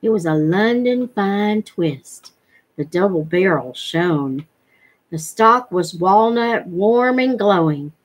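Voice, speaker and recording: A middle-aged woman reading a novel aloud in a measured, expressive storytelling manner. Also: medium pitch. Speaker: reader. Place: living room at home. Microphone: webcam at desk distance.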